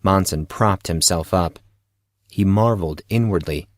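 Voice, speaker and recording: A man narrates a fiction audiobook in a low voice. The recording is close and dry, with the delivery of scripted storytelling. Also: unhurried, no room sound.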